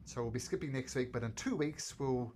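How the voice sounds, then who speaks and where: A man's voice: mid-range voice, a little fast, slight background noise. New Zealand man running a tabletop game. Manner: relaxed, chatty, laughs at own slips. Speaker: game master. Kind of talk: narrating a tabletop game over a call.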